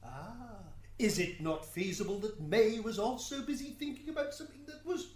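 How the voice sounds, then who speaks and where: A woman's voice: fairly high pitch, moderate pace, darkish tone, natural voice. A woman playing a courteous, slightly flustered and wry defence lawyer in a radio drama. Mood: courteous, probing. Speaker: defence advocate.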